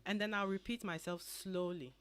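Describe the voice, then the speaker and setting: Ghanaian woman lawyer, steady mid-pitched voice, calm explainer on radio. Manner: measured, explanatory. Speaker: immigration attorney guest. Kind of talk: radio interview answers. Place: radio studio.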